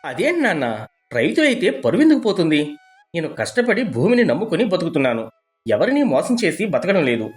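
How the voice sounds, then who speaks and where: A man's voice: on the high side, huge pitch swings, fairly quick, soft background music. A man playing an honest, proud young farmer son in a cartoon story, speaking plainly and earnestly. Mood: proudly protesting.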